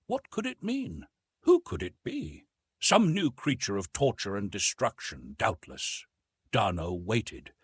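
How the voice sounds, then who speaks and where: A man's voice: fairly low pitch, huge pitch swings, a little slow, slightly rough, no echo, clean studio sound. A man narrating an adventure novel as an audiobook in a theatrical, suspenseful storytelling style.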